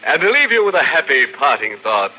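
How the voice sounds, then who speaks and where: An actor playing a ghoulishly playful horror show host, delivering theatrical, mock-sympathetic 1940s radio patter. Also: male voice, fairly high pitch, big pitch swings, a little fast. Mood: gleefully macabre. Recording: dull old radio recording with music.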